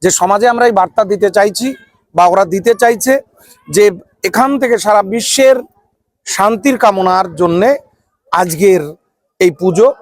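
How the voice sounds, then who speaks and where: A man with an Indian Bengali accent giving an impromptu interview speech in short, halting phrases as a local guest. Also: sits high, no room sound. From West Bengal, India.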